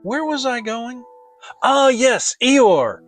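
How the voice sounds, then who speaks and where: A simple, good-hearted toy bear played by a man from a children's storybook. He speaks fairly high, with a melodic swing. He sounds earnest and innocent. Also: expressive, slow, faint music behind, heavily acted. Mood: musing. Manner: earnest, naive. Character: kindly, simple-minded, honey-loving male toy bear.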